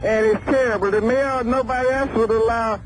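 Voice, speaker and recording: A woman's voice: a little high, steady tone, brisk, soft background music. A woman talking casually as a phone-in guest on a radio show, feisty, blunt and plain-spoken. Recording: noisy phone call on old radio.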